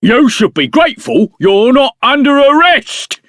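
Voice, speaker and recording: An actor playing an officious, insulting gate guard in a video game, haughty and scolding. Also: male voice, very high voice, big pitch swings, unhurried, a bit bright, dry recording, clean studio sound, exaggerated voice. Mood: contemptuous. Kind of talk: video game character acting.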